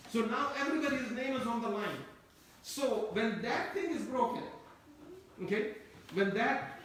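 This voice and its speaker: A man's voice: sits high, fairly bright tone, a little hiss or hum. A man who grew up speaking Turkish gives a Quran commentary lecture, wandering and repeating himself as he explains. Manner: discursive, repeats and restarts phrases. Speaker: Quran teacher. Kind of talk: tafseer lecture. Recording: echoey room, mic at a distance.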